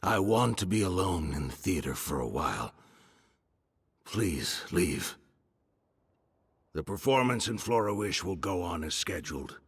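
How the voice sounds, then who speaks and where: An actor playing an aged, guilt-ridden male troupe leader in a game cutscene, speaking slowly and solemnly, with music behind him. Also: low-pitched, very animated, slightly rough, no echo, clean studio sound, full character voice. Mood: weary. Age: older. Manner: slow, brooding and solemn.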